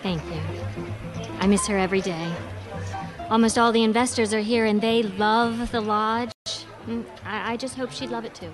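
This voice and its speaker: Actress as eager lodge hostess, higher female voice, gracious and effusive, radio drama over music. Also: fairly even intonation, normal speed, natural voice. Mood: wistful. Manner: eager, hospitable. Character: effusive, gracious woman hosting guests at her lodge.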